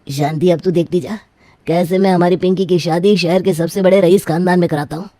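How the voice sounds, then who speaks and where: Boastful scheming dad for a story, voiced by a woman, lowish, mellow, pushy. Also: steady tone, fairly quick, no echo, close to an everyday voice. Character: scheming, boastful middle-aged father.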